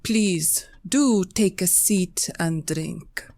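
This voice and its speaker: A woman playing an eerie, seductive supernatural siren who calmly beckons in a gothic audio play. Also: fairly low pitch, drawn-out words. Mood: inviting. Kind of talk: gothic audio drama, incantatory. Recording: echoey, faint background noise.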